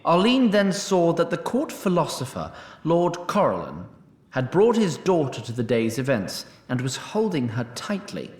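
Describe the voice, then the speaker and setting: A man's voice: a little high, very animated, moderate pace, a little mellow, reverberant. A man narrating a scripted fantasy story in a wry, literary manner.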